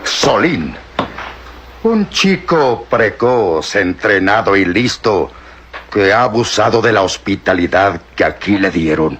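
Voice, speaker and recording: A man's voice: fairly low pitch, animated, takes it slowly, fairly bright tone, slight background noise. An actor playing a stern male police inspector in an old radio drama, theatrically accusing. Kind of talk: 1960s radio drama acting.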